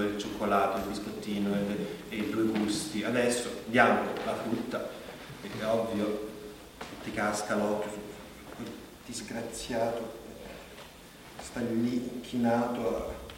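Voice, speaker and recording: A man's voice: fairly low pitch, lightly acted. Actor as a rambling man in echoey hall. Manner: rambling, fragmented, tagging phrases with questions. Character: watchful, chatty everyday supermarket shopper, musing. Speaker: stage actor. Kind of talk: conversational theatrical monologue. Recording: distant echoey hall, clear background noise.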